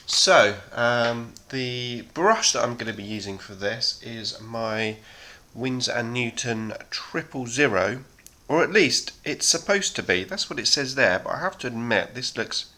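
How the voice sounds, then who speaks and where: A man in his thirties hosting a miniature painting chat, talking casually with many ums and a slightly hoarse voice from a sore throat. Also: medium pitch, unhurried, hardly any pauses. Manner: relaxed, rambling, many ums. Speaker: hobby painting channel host.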